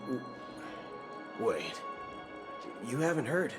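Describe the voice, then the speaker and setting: A man's voice: medium pitch, very animated, very slow. A male actor playing a brash, shrewd cowboy gunslinger in a video game, with a folksy, slangy way of talking. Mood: feigned worried politeness. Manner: folksy, dropping casual slang and softened swears. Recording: game music behind the voice. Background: game soundtrack music.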